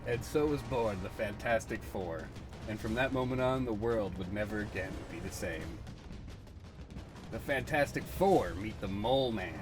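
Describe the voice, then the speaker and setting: A man's voice: on the low side, moderate pace, music in the background. A man giving dramatic, scripted comic-book narration in a portentous manner.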